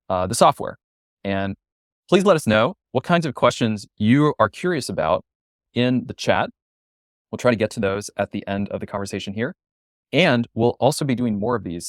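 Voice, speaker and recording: Male webinar host, thirties, lowish pitch, dry sound, presenter-interviewer delivery. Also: no room sound.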